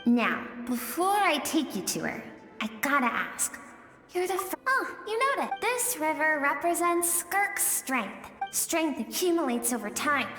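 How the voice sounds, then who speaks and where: A woman's voice: high voice, very animated, measured pace. A woman playing an enigmatic female guide in a video game, musing in a wistful, knowing way. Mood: explaining. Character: enigmatic spirit-like female guide in an inner world. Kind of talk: video game character acting. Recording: echoey long reverb, music behind.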